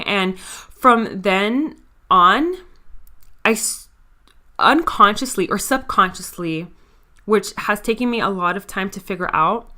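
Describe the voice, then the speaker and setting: A young woman in her twenties hosts her own podcast, talking to listeners in a candid, confiding way. Her voice is bright and forceful, smooth and crisp, with a mild stutter now and then. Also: medium pitch. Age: 20s. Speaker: podcast host. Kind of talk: casual personal monologue.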